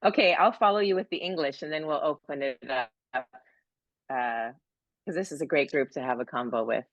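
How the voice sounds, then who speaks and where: A woman hosting an online poetry event over a video call, gushing and encouraging, reading poems slowly. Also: low voice, dry recording, muffled recording. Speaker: event host and poet.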